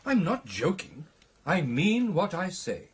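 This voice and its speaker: An earnest, genial British club gentleman in a radio play. His husky voice sits a little high and swings widely in pitch. The phrasing is clubby and old-fashioned, suited to telling a tale. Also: big pitch swings, slow, full character voice. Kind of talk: radio drama acting.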